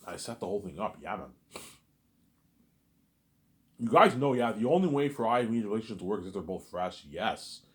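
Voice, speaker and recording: Deep-voiced man rambling fast. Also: lively intonation. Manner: repetitive, stammers on restarts, trails off quietly on asides. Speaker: solo vlogger. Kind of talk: rambling unscripted monologue.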